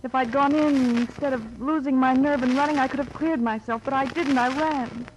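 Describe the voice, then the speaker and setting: An American actress playing an earnest, frightened young murder suspect in an old-time radio drama, halting and pleading, on a noisy vintage recording. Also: female voice, sits high, steady tone, close to an everyday voice. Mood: desperate, pleading. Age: young adult. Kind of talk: 1940s-50s American radio drama acting.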